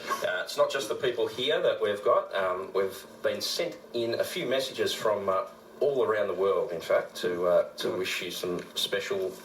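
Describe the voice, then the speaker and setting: Deep-voiced Australian man, roomy sound. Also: moderate pace. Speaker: radio presenter. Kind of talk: informal on-air tribute speech. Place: radio studio. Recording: roomy studio with faint noise.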